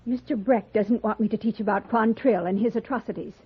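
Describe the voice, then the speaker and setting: An actress playing a stern, principled frontier schoolteacher in an old-time radio western, formal, prim and firm. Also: female voice, on the high side, steady tone, fairly quick, slightly rough. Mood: indignant. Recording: muffled, noisy vintage radio recording.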